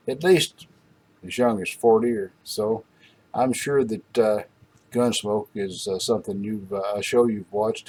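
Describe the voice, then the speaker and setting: A man hosting a hobby channel, talking casually to his viewers in a folksy, unhurried and halting way. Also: mid-range voice, darkish tone. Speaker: host of a hobby video channel. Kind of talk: casual rambling talk to viewers.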